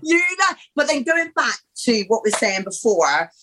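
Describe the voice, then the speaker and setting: A woman talks openly about her own life, with a frank, blunt manner. She swears without hesitation and drops in "babe" when she speaks, and her voice sits a little on the high side. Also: very animated, moderate pace, background noise. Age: 30s. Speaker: podcast guest. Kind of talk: candid casual conversation.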